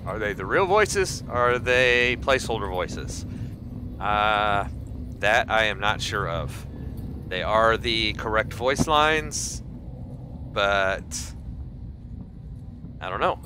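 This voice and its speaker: A man giving casual game commentary as host of a gaming video, with game ambience behind him. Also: on the low side. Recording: clear background noise.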